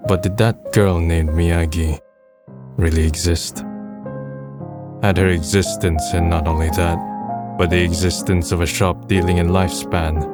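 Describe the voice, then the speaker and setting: A man playing a brooding young man tells his story in a low, deep voice. He is subdued and introspective, like the melancholy lead of a dubbed manga drama. Music plays clearly behind him. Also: flat delivery, measured pace. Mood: somber, introspective. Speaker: narrator and lead character. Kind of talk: first-person dramatic narration in an amateur manga dub.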